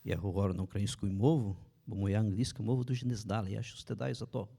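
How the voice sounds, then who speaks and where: A middle-aged man from Brazil speaking with the old-fashioned accent of the Brazilian Ukrainian diaspora, a guest answering in a halting way. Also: fairly low pitch, steady tone, gravelly, dark tone. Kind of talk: conversational interview.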